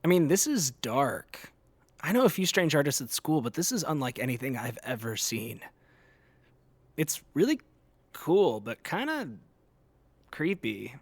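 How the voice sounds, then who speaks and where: A man's voice: fairly high pitch, very animated, a little slow, long pauses, dry recording, heavily acted. A man playing a teasing, curious young art student in an audio drama, bantering casually. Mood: impressed.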